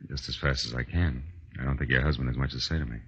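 An actor playing a tough, wisecracking private detective in a 1940s radio drama, sardonic, clipped and shrewd. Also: male voice, extremely low pitch, fast, a little hiss or hum. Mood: casual, stalling. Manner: sardonic, hard-boiled, clipped. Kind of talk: 1940s radio detective acting, first-person narration. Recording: dull, dry vintage 1940s radio recording.

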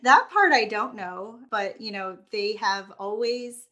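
A woman's voice: medium pitch, takes it slowly, bright, forceful tone. An American woman hosting a podcast, telling a personal family story in a reflective, earnest way with light laughs and restarted words. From United States. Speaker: podcast host.

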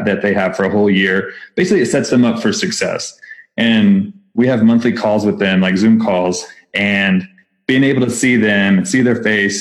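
A man's voice: fairly low pitch, low-quality recording. A man with a Texas accent, founder of a nonprofit, talking casually and enthusiastically in an interview, with lots of fillers and restarts. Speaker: nonprofit founder, podcast guest.

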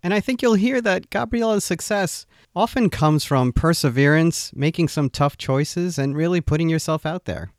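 A man hosting an interview podcast, chatting in a relaxed way with frequent fillers and restarts. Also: on the high side, no room sound, clean studio sound. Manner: conversational, halting with fillers. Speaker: podcast host. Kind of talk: casual interview.

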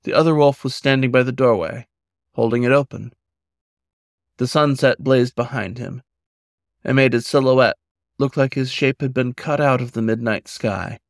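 A man reading his own fiction as a narrator, in a measured, literary way. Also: medium pitch, dry recording, clean studio sound. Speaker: author reading own story. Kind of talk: scripted story narration.

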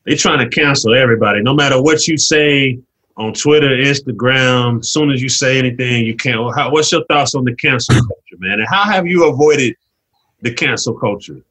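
A man's voice: mid-range voice, normal speed, a bit bright, rough recording. A man hosting a podcast in casual chat, laid-back and affirming. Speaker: podcast host. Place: podcast studio.

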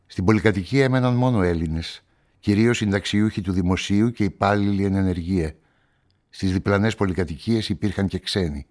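An actor as a deep-voiced, brooding male narrator. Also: a little mellow, lightly acted. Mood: measured. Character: brooding, solitary male newspaperman narrating a murder story. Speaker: narrator and protagonist of a radio play. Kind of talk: literary first-person radio drama narration.

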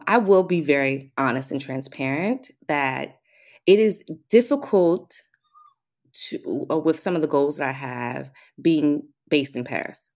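A young American woman, a hairstylist, chatting as an interview guest, animated and self-assured, laughing often. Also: extremely low pitch. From United States. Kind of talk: casual interview chat.

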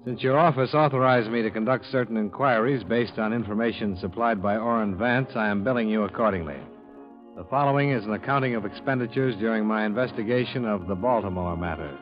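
An actor playing a cool, matter-of-fact insurance investigator in a 1950s radio detective drama. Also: male voice, fairly low pitch, a little fast, close to an everyday voice. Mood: businesslike, dry. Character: cool, hard-nosed male freelance insurance investigator. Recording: dull vintage radio recording, music behind.

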